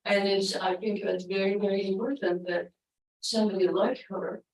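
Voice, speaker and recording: A woman's voice: medium pitch, steady tone, moderate pace, darkish tone, far from the mic. A woman whose first language is Russian, a poet and psychoanalyst, commenting from the audience at a lecture and talking hesitantly with many fillers. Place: lecture room. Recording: roomy hall, voice from off mic.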